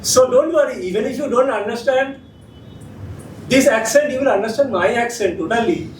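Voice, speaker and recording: A man's voice: extremely high pitch, normal speed. A man playing an ancient male ghost, joking playfully about his own accent. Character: ancient male ghost, centuries-old friend. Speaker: improv performer. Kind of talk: improv comedy. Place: theatre stage. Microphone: distant room mic. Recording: echoey stage, distant mic, noisy.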